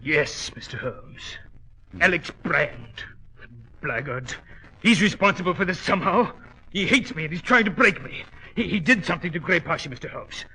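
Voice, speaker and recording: A desperate British lord in vintage radio melodrama. His voice is sharp and husky, agitated and bitter throughout. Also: a little high, expressive, normal speed, full character voice, low-quality recording. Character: desperate, bitter English lord, highly wrought. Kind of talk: 1940s radio drama acting.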